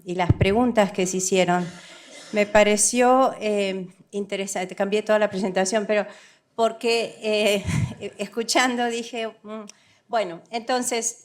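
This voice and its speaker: A woman from Argentina giving a semi-improvised conference talk, frank and emphatic, often correcting herself and mixing English words into her Spanish. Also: medium pitch. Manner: halting, self-correcting, checks in with rhetorical tag questions. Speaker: conference speaker, development economist.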